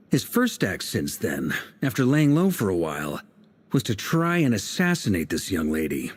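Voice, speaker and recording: An actor voicing a charming, sly adult male knight in a video game, speaking in a smooth, playful, persuasive way. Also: mid-range voice.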